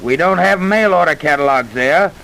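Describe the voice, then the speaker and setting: An actor playing a stern, blunt police detective in an old-time radio crime drama. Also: male voice, on the high side, lively intonation, moderate pace, gravelly, a bit bright, poor audio. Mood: intent. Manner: blunt, businesslike. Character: tough, stern New York police detective. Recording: hissy vintage radio recording.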